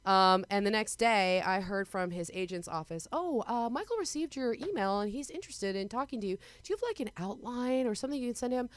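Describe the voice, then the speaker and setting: Woman, guest composer, animated storyteller, smooth voice, mid pitch. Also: no room sound. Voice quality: smooth, very low singing voice. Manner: animated storyteller, quick asides. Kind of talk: casual interview chat.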